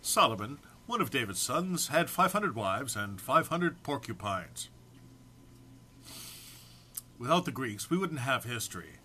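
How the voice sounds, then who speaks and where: Man, bright clear-edged voice, amateur reader, informal, mock-solemn, holding back laughter, faint background noise. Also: mid-range voice, very animated, moderate pace. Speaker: amateur reader recording for an online post. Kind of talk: informal introduction, then reading comic text aloud.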